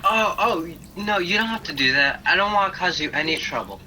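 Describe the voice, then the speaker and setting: A very sharp, bright voice with faint noise behind it: a man playing a naive young angel in a radio play, frank and a little awkward. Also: high voice, animated, fairly quick, heavily acted. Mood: curious, awkward. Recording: faintly noisy home video-call recording.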